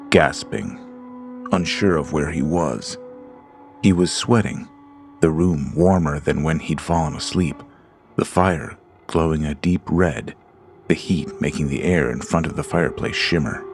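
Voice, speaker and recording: A man with a very deep, gravelly voice reads a horror tale at a slow, deliberate pace. His measured, ominous delivery sits over a faint bed of creepy music. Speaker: narrator. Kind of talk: scripted horror narration.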